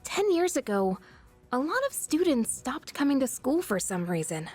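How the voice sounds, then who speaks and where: A woman voicing a frank, inquisitive teenage schoolgirl in a video game, talking in a casual, expressive way. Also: sits high, moderate pace, faint music behind. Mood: tentative, curious. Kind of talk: English video game voice acting.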